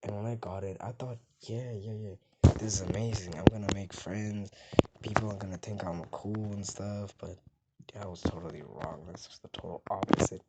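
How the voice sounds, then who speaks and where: A young man with a very deep voice, rambling casually in a gaming vlog and sometimes mumbling softly. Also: steady tone, normal speed. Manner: rambling, soft and mumbled at times, hard to hear. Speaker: gaming vlogger. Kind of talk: casual rambling talk to camera. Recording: loud background noise with mouse clicks.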